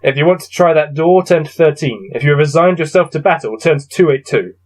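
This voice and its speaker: A man reading a gamebook aloud and adding low-key, wry muttered commentary as he plays. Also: expressive, fairly quick. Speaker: solo gamebook player and reader.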